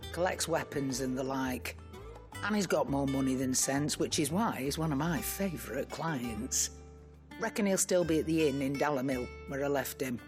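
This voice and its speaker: A shrewd, brusque British trader in a fantasy game. Her delivery is wry and colloquial, with music clearly playing behind her. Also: very deep voice. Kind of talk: dramatic video game dialogue.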